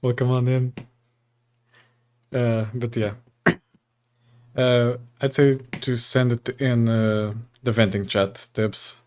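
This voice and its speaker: A man from Portugal hosting a live study stream, chatting casually with viewers and reading course text aloud with a relaxed, chatty manner. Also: mid-range voice, slow pace, pauses often. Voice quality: relaxed, chatty, often laughing, greets chat by name.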